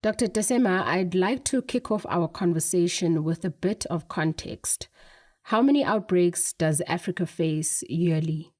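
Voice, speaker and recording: Husky, low-voiced woman podcast host. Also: measured pace, a little mellow. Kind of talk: scripted podcast narration and interview questions.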